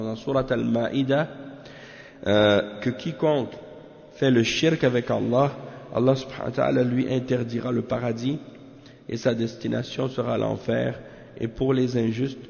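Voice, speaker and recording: Gravelly man teaching with a cold. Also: mid-range voice, a little mellow. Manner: reads Arabic passages fast, then explains them slowly in French; halting, repeats words and corrects himself. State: has a cold. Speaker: teacher of Quran exegesis. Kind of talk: religious lesson. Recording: dull lo-fi recording with long reverb.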